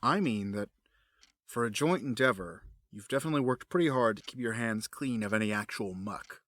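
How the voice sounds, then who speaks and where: Man playing a cold, sharp-edged obsessed villain. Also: mid-range voice, fairly quick, no room sound, clean studio sound, natural voice. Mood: cold, cynical. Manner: menacing, self-justifying, sardonic. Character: cold, obsessed male villain, a grieving widower running experiments. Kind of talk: dramatic audio drama acting.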